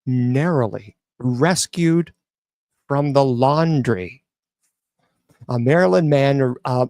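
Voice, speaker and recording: A man's voice: medium pitch, a little mellow, no room sound, studio quality. Chatty man riffing on news. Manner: chatty, reads news aloud then riffs with many restarts. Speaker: host reading news stories. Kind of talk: casual commentary on news stories.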